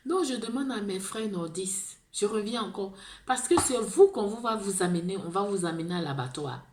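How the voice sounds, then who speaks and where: A woman's voice: mid-range voice, animated, moderate pace, darkish tone, roomy sound. A woman with an Ivorian French accent gives an impassioned monologue, pleading, repeating herself and restarting words. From Ivory Coast. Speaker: video blogger.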